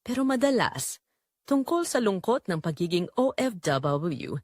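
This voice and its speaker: A woman's voice: medium pitch, sing-song, unhurried, a little mellow, no echo, studio quality. A woman with a Filipino accent narrating a scripted dramatic story as a storyteller.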